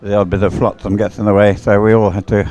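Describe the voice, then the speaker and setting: A man's voice: on the low side, level tone, fast talker, darkish tone, faint music behind. An older man giving live commentary on a boat regatta, chatty and hesitant, often drifting into rambling asides. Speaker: sports commentator, former umpire.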